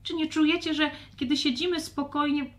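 A woman voicing an expressive young female dragon in a children's audio fairy tale. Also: sits high, fairly quick, pitched above the performer's own voice, natural voice. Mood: earnest. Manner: expressive, exclaiming. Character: young female dragon, tearful then earnest peacemaker.

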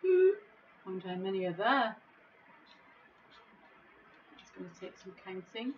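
A middle-aged woman reading a children's storybook in a measured, expressive way, recorded on a device microphone in an office. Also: mid-range voice, lo-fi sound, a little hiss or hum. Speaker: school staff member reading a bedtime story. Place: small office, indoors.

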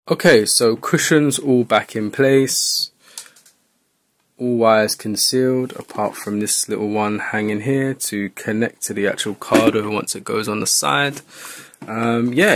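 A man's voice: on the low side, fairly bright tone, no room sound. A man talking informally through a hands-on how-to tutorial, thinking aloud as he works. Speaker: vlogger giving a how-to tutorial. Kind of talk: casual hands-on tutorial narration. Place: indoors at a wooden table in a home room.

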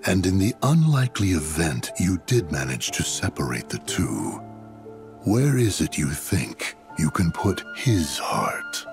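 An actor playing a cold, imperious villain in a video game, measured, grandiose and condescending. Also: male voice, sits low, big pitch swings, faint music behind, full character voice. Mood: cold dismissal. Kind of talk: dramatic video game cutscene acting.

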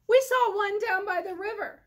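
Woman as an eager, high-voiced storybook goat. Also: moderate pace. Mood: eager, blunt. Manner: calling out excitedly. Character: eager, helpful goat couple's voice, blunt.

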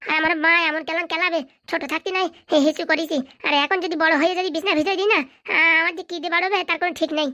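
Female voice actor as a timid, stammering village boy in a comedy cartoon; high, bright, hurried. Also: fairly even intonation, no room sound, studio quality. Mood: nervous. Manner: stammering, nervous, whiny. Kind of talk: comic cartoon voice acting.

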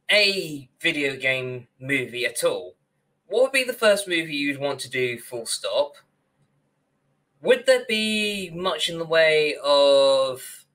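Animated young man with a bright voice. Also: on the high side. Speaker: podcast co-host. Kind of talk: casual podcast discussion. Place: small room at home, on a video call.

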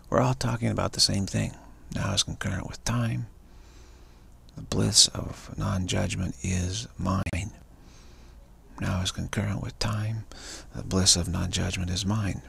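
A man with a very deep voice full of gravel and fry chants spiritual affirmations in slow, looping repetition. His tone is husky and rough, and he keeps the same lines going round and round like a meditation. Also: pushed and bright. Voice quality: gravelly, raspy voice. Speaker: spiritual speaker. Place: cafe. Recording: live stream.

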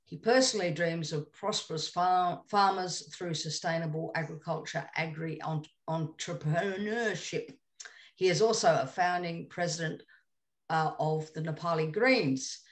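A woman's voice: lowish voice, measured pace. A woman moderating a panel, introducing the next speaker with frequent hesitations. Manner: hesitant, many fillers.

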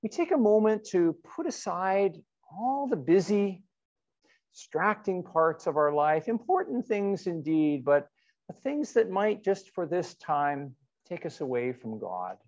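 A middle-aged man, a pastor speaking conversationally and a little haltingly as he leads online church worship. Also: high voice, big pitch swings, a little mellow, highs cut off. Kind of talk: informal church announcements and worship leading.

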